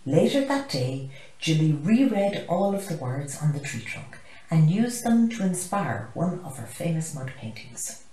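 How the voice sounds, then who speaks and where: An Irish woman in her sixties reading a children's picture book aloud in an expressive storytelling style. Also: low voice, measured pace. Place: small living room, indoors. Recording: roomy, echoey living room.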